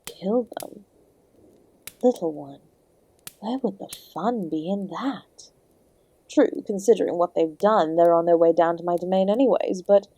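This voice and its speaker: A woman's voice: on the low side, a little slow, pauses often, dark tone, slightly rough. A woman playing the Devil in an audio roleplay as a charming, sardonic, ancient hostess who speaks to the listener with genteel, theatrical courtesy. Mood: soft, sympathetic, wicked. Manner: genteel, teasing, theatrical, calling the listener "my dear". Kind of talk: scripted audio roleplay, addressing the listener directly.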